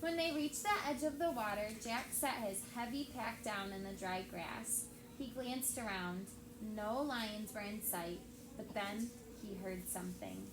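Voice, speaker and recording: A woman teacher reading a story aloud to children in an expressive storytelling style. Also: medium pitch, a little slow. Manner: expressive, acts out dialogue. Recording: echoey room with steady background noise.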